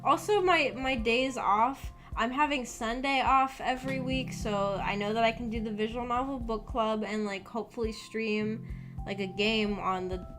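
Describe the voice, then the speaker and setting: A woman's voice: fairly high pitch, moderate pace. A young woman chats informally to her stream audience. Her delivery is shy and hesitant, wandering as she searches for how to put things. Background music plays under her voice. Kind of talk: casual chat with livestream viewers.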